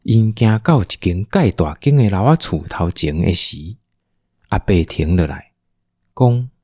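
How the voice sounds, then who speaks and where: A man's voice: low voice, lively intonation, unhurried, mellow, husky, no echo, studio quality. A man with a Taiwanese accent narrating a scripted audio drama as a storyteller.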